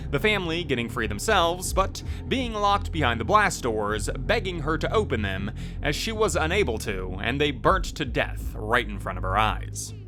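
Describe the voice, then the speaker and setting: Male video host, scripted narration, highly animated intonation, music bed underneath. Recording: music playing behind the voice. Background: loud music.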